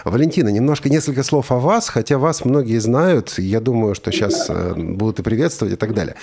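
A man's voice: mid-range voice, animated, fairly quick, a little mellow. A man hosting an online talk show, interviewing guests in a conversational way. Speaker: host.

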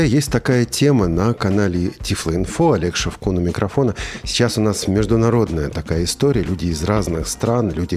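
A man's voice: low voice, a little mellow. A man hosting an online talk show, interviewing guests in a conversational way. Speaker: host.

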